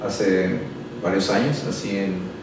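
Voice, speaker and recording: A man's voice: sits low, little pitch movement, takes it slowly, background noise. A middle-aged man from El Salvador, a pastor talking earnestly and unhurriedly, often adding tag questions. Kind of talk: interview answers and prayer. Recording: echoey room, a bit far from the mic.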